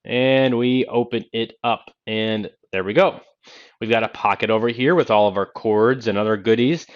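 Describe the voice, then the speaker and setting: A man in his thirties hosting a casual tech unboxing in a halting, conversational way, speaking into a Bluetooth headset in a small office. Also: lowish voice. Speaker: tech video host demonstrating a headset. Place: small home office, indoors. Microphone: single-ear Bluetooth headset. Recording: dull narrow-band Bluetooth headset sound.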